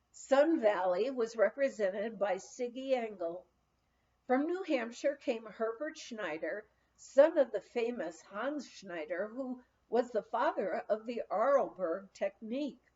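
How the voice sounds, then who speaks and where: A woman reads a lighthearted memoir in a fairly high voice. Her delivery is expressive, with very animated melody and deliberate phrasing. The recording sounds a little muffled and lo-fi. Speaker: audiobook reader. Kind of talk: narrated reading of a humorous memoir.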